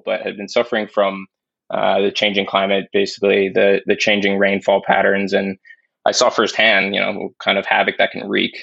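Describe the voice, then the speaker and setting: Young male podcast guest, low gravelly voice, casual and thoughtful, close and dry. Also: a bit bright, no room sound. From born in Spain, raised in New York. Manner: thoughtful, many restarts and fillers. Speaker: podcast guest, climate investor. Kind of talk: casual interview talk. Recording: dull dry lo-fi sound.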